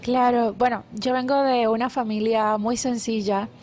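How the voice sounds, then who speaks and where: A woman's voice: a little high, fairly even intonation, a little slow, a little hiss or hum. Guatemalan woman sharing faith testimony. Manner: warm devout storytelling with many fillers and restarts. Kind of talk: casual testimony interview.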